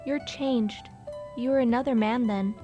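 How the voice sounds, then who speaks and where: A woman's voice: a little high, steady tone, a little slow, mellow, lo-fi sound, faint music behind, natural voice. A woman playing a sorrowful but resolute young fiancée in a radio drama, earnest and formal. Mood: sad, resolute.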